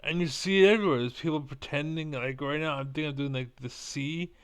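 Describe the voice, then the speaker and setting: A man's voice: fairly high pitch, a little fast, clean tone. A young man with Spanish as his first language, rambling bluntly in a casual monologue and swearing now and then. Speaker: vlogger.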